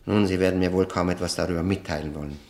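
An actor in a German radio play playing a bitter, ironic, educated convict who speaks deliberately and pauses for effect. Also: male voice, sits low, fairly even intonation, creaky, a little mellow, reverberant. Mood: reflective, brooding.

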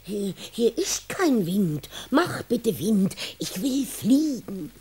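Tiny winged granny bird, childlike, naive, wildly swooping high voice, unhurried, radio play. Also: female voice, big pitch swings, dark tone, husky, full character voice. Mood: eager. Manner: naive, questioning, short exclamatory phrases. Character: tiny winged bird grandma hatched from an egg, childlike and excitable.

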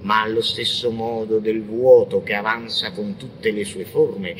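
An actor playing a frenzied, blasphemous visionary poet in an avant-garde radio monologue, declaiming theatrically and lurching between whispers and shouts. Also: male voice, fairly low pitch, level tone, unhurried, slight background noise, lightly acted, rough recording. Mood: bitter, mocking. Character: frenzied, blasphemous visionary poet ranting against God and man.

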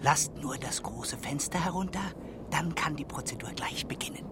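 An actress playing a brisk, callous woman passenger in a radio play, theatrical and swinging between commands and cheer. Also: whispered, natural voice. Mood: urgent, commanding. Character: brisk, callous woman passenger ridding a night train of the dead. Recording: loud train rumble behind the voice. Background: moving train noise.

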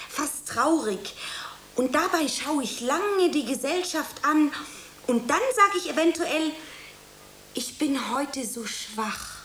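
A very high-pitched, eager young actress in a radio drama. She is theatrical and anxious, lingering slowly over her words. The room rings around her, with a faint hiss. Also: bright, forceful tone, echoey room, heavily acted. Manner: gushing, hesitant, dramatic pauses, earnest. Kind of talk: German radio play acting.

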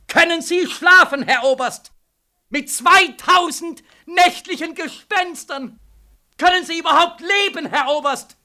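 An actor playing a bitter, tormented young soldier back from war in an old radio play, pressing questions insistently and repetitively. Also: male voice, extremely high pitch, sharp tone, heavily acted. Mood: accusing. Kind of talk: 1940s radio drama acting. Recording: 1940s radio recording.

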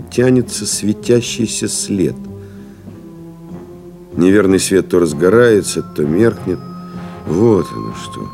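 An actor playing a reflective pilot-writer, narrating memories in a measured, solemn, literary radio-play style. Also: male voice, low-pitched, warm tone. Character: reflective male pilot-writer recalling friends and desert flights.

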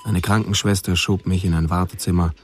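A man's voice: sits low, steady tone, fairly quick, soft background music, lightly acted. An actor playing a man who narrates his troubled youth in a terse, matter-of-fact way for a radio drama. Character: male narrator plainly recounting his troubled teenage years. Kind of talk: scripted first-person narration, radio drama.

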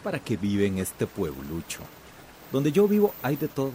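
An actor playing a gruff, curt, disdainful company boss in a radio drama. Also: male voice, medium pitch, huge pitch swings, moderate pace, mellow, slight background noise. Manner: dismissive, curt.

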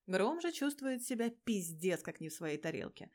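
A woman's voice: mid-range voice, sing-song, normal speed, darkish tone. Woman narrating a wry, colloquial story. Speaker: fan fiction narrator. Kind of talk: expressive audiobook-style storytelling with character voices.

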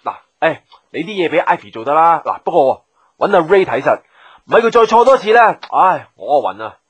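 A fussing, breezy office boss in a radio drama, speaking with a Hong Kong Cantonese accent. His pitch swings up and down in lively fashion as he rattles off requests. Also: brisk, slightly rough, exaggerated voice. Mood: harried, friendly. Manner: breezy, exasperated, sighing. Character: brisk, chatty, fussing male office boss.

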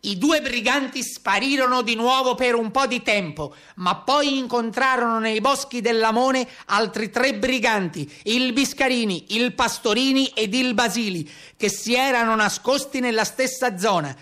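A man's voice: very high voice, normal speed, bright, forceful tone, pitched above the performer's own voice. A man voicing a solemn carabiniere who recounts a brigand hunt, formal and declaiming, in theatrical narration. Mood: solemn reporting.

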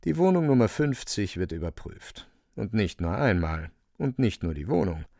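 A man's voice: lowish voice, sing-song, moderate pace, creaky, mellow, no room sound, clean studio sound. A man narrating a radio play with wry, emphatic, theatrical storytelling.

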